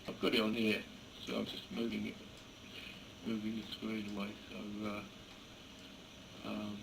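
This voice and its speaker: A man chatting casually as an amateur radio operator, halting and hesitant as he talks. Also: lowish voice, monotone, gravelly, background noise. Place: indoors beside a computer.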